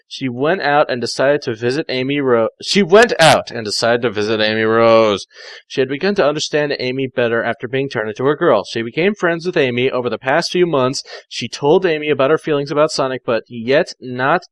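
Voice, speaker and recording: A man's voice: medium pitch, a bit bright, dry recording. Male amateur story reader, sardonic and mocking in his asides, close dry sound. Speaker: fan fiction reader. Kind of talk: amateur read-aloud with sardonic side comments.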